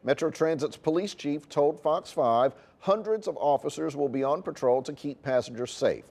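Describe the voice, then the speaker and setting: A man's voice: on the high side, normal speed, vocal fry, darkish tone. A man with an American accent reading scripted television news as an anchor.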